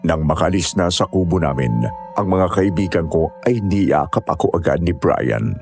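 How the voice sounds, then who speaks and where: A very low-pitched storyteller recounts a mountain ordeal in a horror audio drama, played by a man. The narration is scripted, with music softly underneath. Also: animated, normal speed, vocal fry. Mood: somber recounting.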